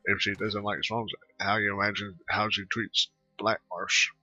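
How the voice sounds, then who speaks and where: Low, husky man mumbling over gameplay. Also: level tone, sharp tone, highs cut off, faint music behind. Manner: mumbling, halting, reads dialogue aloud. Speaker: gameplay video creator. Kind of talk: casual gameplay commentary.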